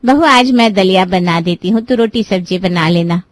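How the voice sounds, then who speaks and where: A woman's voice: mid-range voice, normal speed, no echo, close to an everyday voice. A woman voicing a helpful middle-aged aunt-in-law in a family moral-story cartoon. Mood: caring.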